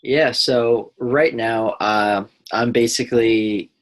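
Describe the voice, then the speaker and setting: A man's voice: mid-range voice, fairly even intonation, slow. A man from the United States, a guest in a casual podcast interview, easygoing and talkative.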